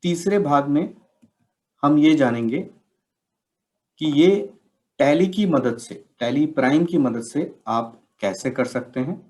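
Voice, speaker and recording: A man giving a scripted instructional presentation as a session presenter, explaining things in measured, separate phrases. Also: a little high, animated, dark tone. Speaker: presenter of a software training session.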